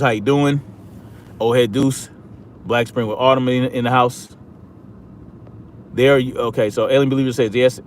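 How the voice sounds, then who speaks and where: A man's voice: mid-range voice, slight background noise. An American man giving casual political commentary as a livestream host, emphatic and repeating phrases for stress.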